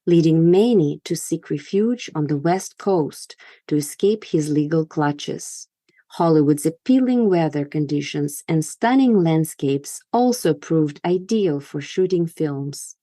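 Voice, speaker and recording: A woman's voice: deep voice, warm tone, dry recording, clean studio sound. A woman hosting a podcast, reading scripted narration. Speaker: podcast host.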